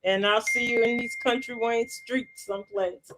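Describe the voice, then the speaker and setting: A woman's voice: on the high side, fairly even intonation, normal speed, fairly bright tone, slight background noise. A woman guest on a live stream, chatting in a friendly, easygoing way. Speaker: guest caller on a live chat. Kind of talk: casual chat.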